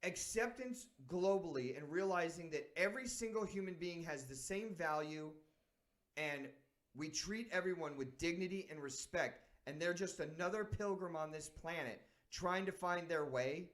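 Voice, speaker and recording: A man's voice: on the high side, moderate pace, a bit bright. Earnest man on a sports show. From San Diego, United States. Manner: earnest, thinking aloud with restarts. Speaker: sports talk show host. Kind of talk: reflective casual talk.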